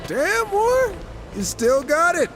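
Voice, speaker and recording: A man's voice: extremely high pitch, big pitch swings, slow pace, over music, heavily acted. An actor playing a cocky, irreverent horseman in a video game, talking in sarcastic, breezy quips. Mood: mocking. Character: cocky, wisecracking male horseman, irreverent, impatient gunslinger type. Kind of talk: video game cutscene acting.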